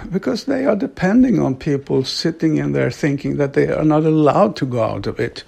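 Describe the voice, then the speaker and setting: A middle-aged man from Sweden, an author and devotee, talking reflectively in a slow, halting way with frequent soft laughs. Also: fairly high pitch, lively intonation, gravelly, breathy edge. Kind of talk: reflective monologue.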